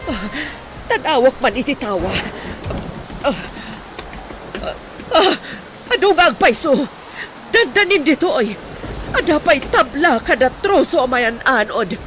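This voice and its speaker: A woman's voice: high voice, big pitch swings, measured pace, long pauses, fairly bright tone, soft background music, exaggerated voice, poor audio. A woman playing a fearful, distressed woman caught in a storm in a radio drama. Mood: panicked. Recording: faint storm-like background noise.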